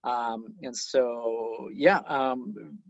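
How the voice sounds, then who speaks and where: A man with a lightly Trinidadian accent, answering interview questions in a hesitant, searching way with many pauses. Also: mid-range voice, fairly even intonation, no echo, lo-fi sound. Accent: Trinidadian, softened toward Canadian English. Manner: hesitant, many ums and uhs. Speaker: guest.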